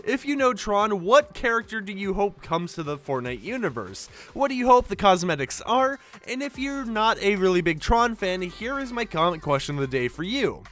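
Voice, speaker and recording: Young male gaming host, high voice, very bouncy, rambling casual delivery, soft music underneath. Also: very animated, moderate pace, few pauses. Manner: chatty, rambling with many likes and basicallys. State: sleepy, recorded early in the morning. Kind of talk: casual commentary video.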